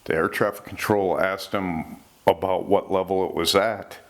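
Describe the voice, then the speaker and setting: A man with a low voice hosts an informal storytelling talk. He recounts incidents conversationally, relaying what was said in plain reported speech. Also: fairly even intonation, moderate pace. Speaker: host recounting UFO reports.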